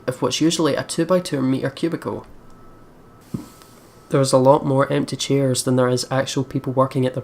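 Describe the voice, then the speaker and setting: A woman hosting a let's play, reading visual novel narration and reacting in casual, expressive, sweary commentary. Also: rumbling low.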